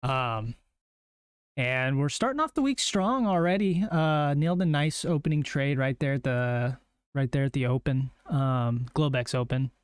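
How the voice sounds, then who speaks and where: A young man with a hoarse, worn-out voice, talking casually as he walks through a trading plan on a live stream, with plenty of ums and rights. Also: mid-range voice, measured pace, dry recording, clean studio sound. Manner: laid-back, chatty, lots of ums and rights. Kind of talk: casual live market walkthrough.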